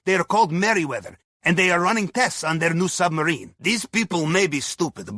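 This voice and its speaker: Scheming, jovial Russian mission guide for a game; bright, forceful, husky delivery, theatrical and clipped, recorded very dry. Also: male voice, sits high, no room sound, exaggerated voice. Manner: theatrical, clipped phrasing with dropped articles. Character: jovial, wily Russian submarine captain's heist planner. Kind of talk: video game character dialogue, mission briefing.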